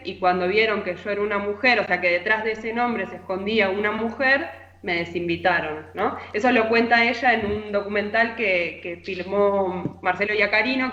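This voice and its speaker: A woman's voice: mid-range voice, sharp tone. A woman with an Argentine accent giving a chatty, informal radio column full of anecdotes as a literary columnist. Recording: echoey, slightly dull remote audio.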